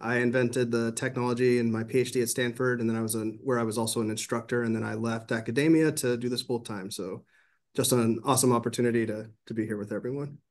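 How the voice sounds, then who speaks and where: A man in his forties, a startup CEO introducing himself on a webinar panel, friendly and brisk. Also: mid-range voice, steady tone.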